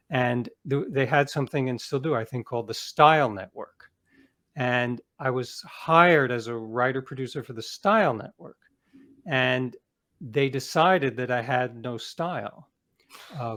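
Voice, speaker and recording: Male interview guest, mid-pitched, self-assured storyteller, reflective and conversational, slips into quoting his own thoughts. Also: a bit bright. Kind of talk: conversational interview, personal anecdotes.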